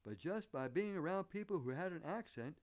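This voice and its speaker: Animated Southern-accented American male preacher. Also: high voice. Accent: American, picked up Southern. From northern United States. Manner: earnest, deliberate, rhetorical questions. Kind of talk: sermon with illustrative anecdotes.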